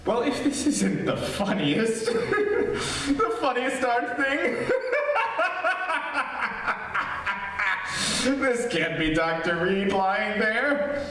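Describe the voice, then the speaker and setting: Lonely, unhinged man, echoey and high. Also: sing-song, a bit bright, heavily acted. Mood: hysterical laughing. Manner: rambling, halting, repeating himself. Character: lonely, unhinged man addressing listeners, sliding from wistful to hysterical. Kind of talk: theatrical old-time radio drama acting. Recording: very echoey stage, distant mic, faint noise.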